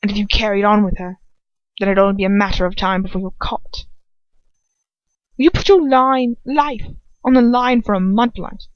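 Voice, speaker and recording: A woman's voice: medium pitch, steady tone, moderate pace, long pauses, dry recording, close to an everyday voice. Female reader as sneering young male wizard, defiant, mocking, emphatic, storybook reading, dull lo-fi dry recording. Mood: triumphant. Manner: sneering, incredulous, emphatic delivery.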